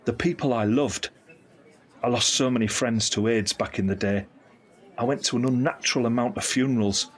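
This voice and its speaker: An actor playing a candid, caring middle-aged uncle in an audio drama, speaking in an earnest, reflective, explanatory way. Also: male voice, medium pitch, natural voice. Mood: sombre, heartfelt. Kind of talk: scripted audio drama dialogue.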